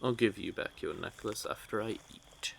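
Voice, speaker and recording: Man playing a low-voiced, smug, flirtatious vampire. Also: normal speed, vocal fry, fairly bright tone, slight background noise. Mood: exasperated. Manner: teasing, smug, drawling menace. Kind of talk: audio roleplay, speaking intimately to one listener. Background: outdoor night forest ambience effects.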